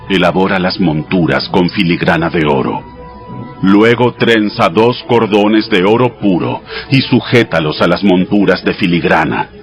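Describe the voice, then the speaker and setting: A man voicing God in a dramatized audio Bible, giving sacred commands in a measured, solemn, instructive way. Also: low voice. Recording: studio voice over background music.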